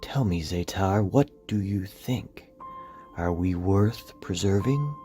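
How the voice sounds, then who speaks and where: A man voicing an ancient, solemn nature guardian in a dramatic comic reading, with a gravelly, portentous delivery. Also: sits low, expressive, slow, mellow. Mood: solemn, earnest. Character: ancient solemn nature guardian recalling his boyhood lessons. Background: music.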